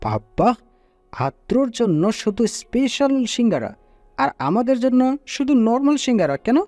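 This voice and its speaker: A man performs a chatty young fellow in a family audio drama. His voice is high for a man and swings animatedly up and down. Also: big pitch swings, measured pace, a little mellow, no room sound, soft background music, heavily acted. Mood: playful.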